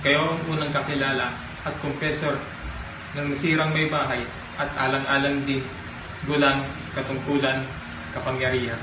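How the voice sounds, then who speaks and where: A man's voice: medium pitch, little pitch movement, moderate pace, pauses often, noisy background, close to an everyday voice. A young man playing a polite, deferential male friar, speaking formally in a school drama. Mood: courteous. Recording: echoey room from a distance.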